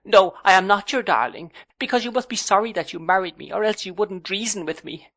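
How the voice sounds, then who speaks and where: A man voicing a childish young wife who pleads and sobs in a high voice. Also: a little fast, pushed and bright, exaggerated voice. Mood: tearful.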